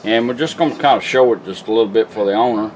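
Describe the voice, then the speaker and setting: A man's voice: on the low side, fast talker, a little mellow, slight background noise. A middle-aged man giving a casual, plainspoken product demonstration at a workshop bench, like a folksy repairman. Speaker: car radio restorer demonstrating a product. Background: music playing from the radio being demonstrated.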